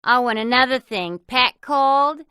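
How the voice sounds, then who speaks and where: An actress voicing a wisecracking, world-weary female office receptionist in a video game, with a deadpan, sarcastic delivery. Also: sits high, moderate pace, smooth, no room sound, clean studio sound. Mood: insistent. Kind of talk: scripted video game dialogue.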